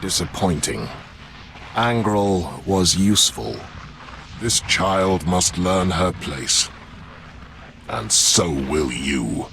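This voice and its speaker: An actor playing an imperious, cold Sith Emperor in a video game, speaking in a commanding way. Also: male voice, low-pitched, lively intonation, extremely slow, creaky, pauses often, a bit bright, slight background noise, full character voice. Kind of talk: scripted video game acting.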